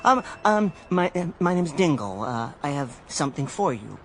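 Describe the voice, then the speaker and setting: A man's voice: a little high, sing-song, moderate pace, background noise. A stammering, timid, bumbling salesman. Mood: timid, flustered. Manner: stammering, hesitant, flustered, overly polite. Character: timid, bumbling, polite male vacuum cleaner salesman. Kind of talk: radio drama acting. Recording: music behind the voice.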